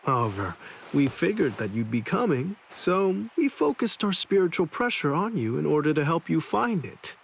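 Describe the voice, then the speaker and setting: A man's voice: on the high side, sing-song, normal speed, gravelly, husky, exaggerated voice. An actor playing a laid-back, sly male swordsman leader in a game cutscene dub, drawling and mocking. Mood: wry.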